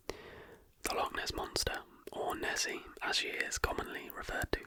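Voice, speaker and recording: Slow, bright ASMR whisper. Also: very high-pitched. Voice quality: breathy, unvoiced whisper. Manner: slow, soft, deliberate delivery. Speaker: host of an ASMR channel.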